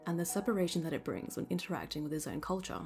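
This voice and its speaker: A woman with an Australian accent reading scripted documentary narration in a measured storytelling manner as the host. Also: on the low side, expressive, creaky, a little mellow, faint music behind.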